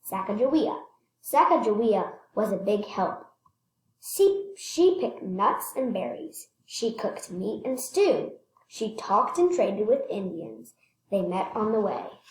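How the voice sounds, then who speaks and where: A woman reading a children's picture book aloud expressively, stressing words and pausing to point things out. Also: medium pitch, very animated, a little mellow, roomy sound, poor audio. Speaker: read-aloud storyteller.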